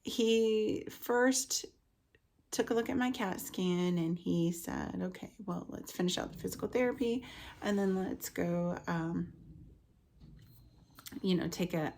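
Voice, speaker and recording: Casting: woman, late 30s, casual vlogger, scratchy end-of-cold voice with gravelly fry, some background noise. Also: fairly low pitch, slow, a little mellow. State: getting over a cold with a lingering cough. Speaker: vlogger, stay-at-home mother. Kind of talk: casual personal health storytelling to camera.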